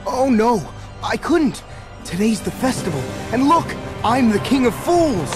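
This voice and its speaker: Sweet timid young hunchback man, game voice, high pitched, kinda slow. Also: very animated, over music, exaggerated voice. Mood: shy excitement. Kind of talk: dramatic video game acting.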